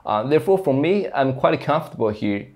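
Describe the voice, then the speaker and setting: A man's voice: medium pitch, expressive, fairly quick, a little mellow. A young man from China with a Mandarin Chinese accent, speaking English as a second language, explaining his work to camera in an earnest way with many fillers and restarts. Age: young adult. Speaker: software engineer vlogger. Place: small plain indoor room. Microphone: lapel mic, camera in front.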